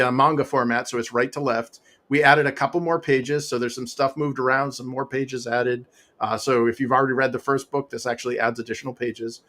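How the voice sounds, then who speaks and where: A man's voice: medium pitch, fairly bright tone. A man in his forties or fifties, a comic author talking as an interview guest in loose, rambling chat full of fillers, restarts and laughs, on a home podcast mic with a pop filter. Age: 40s to 50s.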